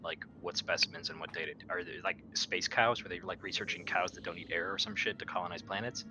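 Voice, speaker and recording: A man's voice: low voice, steady tone, quick pace, over music. A man playing a captain in a tabletop roleplaying game, chatting casually with a dry joking streak. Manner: joking, sarcastic, swearing. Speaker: player as ship's captain. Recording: clear background noise.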